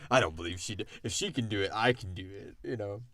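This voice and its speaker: A man's voice: on the low side, quick pace, over a noisy crowd. A man co-hosting a podcast, answering fan questions in a casual, rambling and joking way about the story he created. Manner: rambling, joking explanations with acted-out quotes.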